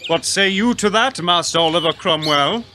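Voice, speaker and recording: A man's voice: high-pitched, normal speed, sharp tone, a little hiss or hum. An actor playing a proud, pompous king who declaims in an old-fashioned way. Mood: haughty.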